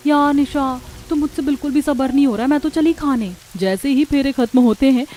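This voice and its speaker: A woman narrates a scripted cartoon tale as its storyteller. Her voice sits at a middling pitch for a woman. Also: warm tone, slight background noise.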